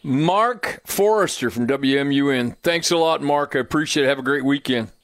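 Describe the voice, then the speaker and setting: A man's voice: mid-range voice, normal speed, a bit bright, no room sound. An older man hosting a live sports talk radio show, with a folksy manner of talking. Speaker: sports radio host and coach.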